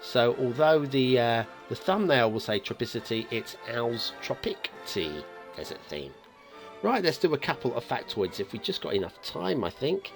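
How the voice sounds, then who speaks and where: A man's voice: mid-range voice, big pitch swings, normal speed, soft background music. A man hosting a game map review in a chatty, playfully teasing way, commenting casually and off the cuff.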